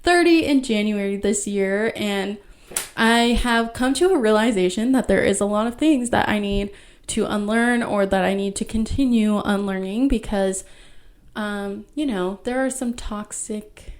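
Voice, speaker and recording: A woman in her thirties hosting her own podcast, talking alone in a soft, reflective way. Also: mid-range voice. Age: 30s. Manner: slow, soft-spoken and reflective. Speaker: podcast host. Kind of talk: solo podcast talk.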